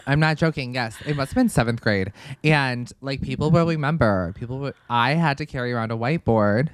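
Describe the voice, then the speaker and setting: A man's voice: medium pitch, brisk, noisy background. A young man co-hosting a podcast in casual chat, a little sniffly from a cold, full of "like" and "literally". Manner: chatty, lots of "like" and "literally". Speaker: podcast co-host.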